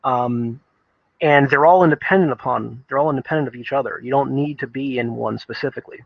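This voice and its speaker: A man giving casual, opinionated commentary on video games, speaking emphatically in a halting way, restarting and repeating phrases as he thinks. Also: medium pitch, gravelly, no room sound, dull audio.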